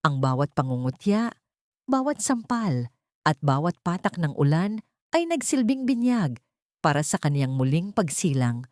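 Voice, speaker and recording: A woman's voice: sits low, sing-song, unhurried, a little mellow, no echo, studio quality. A woman with a Filipino accent reading a scripted, dramatic story as a narrator. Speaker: narrator of audio love stories.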